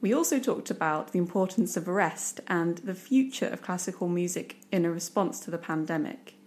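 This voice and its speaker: A woman's voice: on the low side, breathy edge. A woman hosting a podcast, reading a scripted intro and then chatting with a guest in an eager, enthusiastic way. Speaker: podcast host.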